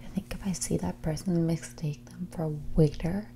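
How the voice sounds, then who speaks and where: A woman playing a spoiled, haughty young heiress in a whispered, soft-spoken ASMR roleplay, with a condescending, drawling manner. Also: fairly low pitch, steady tone, fairly quick, creaky, a little hiss or hum. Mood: bored, indignant. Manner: snobbish, condescending, drawling, filler-laden valley-girl phrasing.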